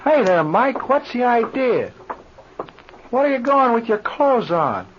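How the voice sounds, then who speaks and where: An actor playing a folksy, curious, plain-spoken mechanic in an old-time radio adventure serial. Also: male voice, very high voice, big pitch swings, unhurried, full character voice. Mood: curious, suspicious. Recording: dull, noisy old radio serial recording.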